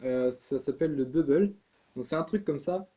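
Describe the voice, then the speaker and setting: A man's voice: a little high, normal speed. A man giving a casual tin whistle tutorial, chatty, with many fillers and restarts.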